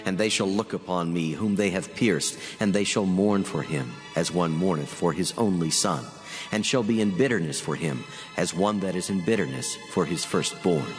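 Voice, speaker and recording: An actor playing a mighty, solemn God of scripture, declaiming with grave weight in a dramatized reading. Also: male voice, low voice, moderate pace, lightly acted. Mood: grave, mournful. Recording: long cavernous reverb. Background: music.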